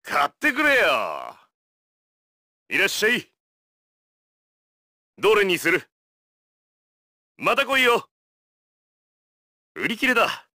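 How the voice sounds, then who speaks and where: An actor voicing a gruff, chatty male shopkeeper in casual video game dialogue. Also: high-pitched, huge pitch swings, takes it slowly, pauses often, pushed and bright, no room sound, clean studio sound, full character voice.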